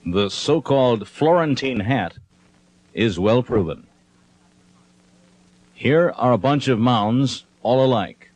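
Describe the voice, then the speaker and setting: A man reading scripted narration for an instructional documentary film in a measured, instructive manner. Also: mid-range voice, animated, darkish tone, low-quality recording.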